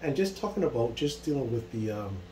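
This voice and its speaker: A man's voice: mid-range voice, big pitch swings, fairly quick, mellow. A man from Barbados hosting a casual interview, enthusiastic and peppering his talk with "like". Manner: enthusiastic, repeats "like" often. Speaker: interview host. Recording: echoey room with faint hum.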